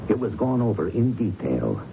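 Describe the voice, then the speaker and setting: A man's voice: fairly low pitch, big pitch swings, moderate pace, vocal fry. An actor playing a smug, slangy gangster in a radio drama, needling and drawling through his tough talk. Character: smug, slangy male gangster giving veiled reassurance. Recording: muffled, noisy 1970s radio broadcast.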